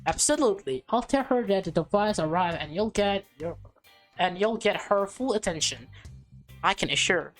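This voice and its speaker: A woman's voice: medium pitch, lively intonation, normal speed, soft background music, close to an everyday voice. A woman voicing a composed, polite maid in a visual novel, formal and assured, with a slyly helpful air.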